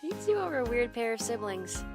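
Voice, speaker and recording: A woman voicing a playful, teasing high-school girl in a visual novel game. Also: big pitch swings, brisk. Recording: clear music behind the voice.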